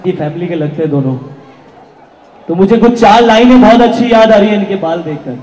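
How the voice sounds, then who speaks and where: A man's voice: sits high, lively intonation, racing, darkish tone. A man with an Indian accent playfully reciting verse from a stage. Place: large hall at a promotional event. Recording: reverberant hall.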